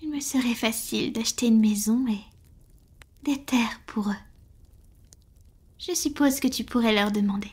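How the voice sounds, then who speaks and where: A woman playing a tender, devoted female vampire in an intimate roleplay audio, whispering slowly and hesitantly to her lover. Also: a little high, darkish tone. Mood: soft, thoughtful. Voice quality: breathy, whispered. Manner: slow, hesitant, affectionate, addressing a lover.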